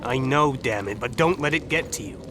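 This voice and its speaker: A man's voice: mid-range voice, moderate pace, over music, close to an everyday voice. A man acting a bold, impatient assassin in a video game, talking in a blunt, sardonic way. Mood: defiant. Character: gruff male assassin, bold, impatient for action. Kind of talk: dramatic video game dialogue.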